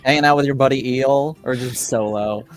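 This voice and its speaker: A man running a tabletop roleplaying game as game master, improvising casual narration and questions with thoughtful, halting phrasing. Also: medium pitch. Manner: halting, pauses to think, brief affirmations. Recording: music under voice. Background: background music.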